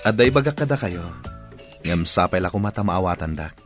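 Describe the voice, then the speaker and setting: An actor with an Ilocano accent playing a devoted, respectful young son in a radio drama. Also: male voice, low voice, expressive, a little fast, music in the background. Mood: earnest. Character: devoted, respectful young adult son, earnest about love.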